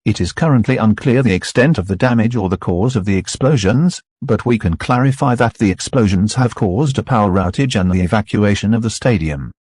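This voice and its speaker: A man reading formal, scripted broadcast announcements in a steady tone. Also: lowish voice, brisk, hardly any pauses, husky, dry recording, studio quality.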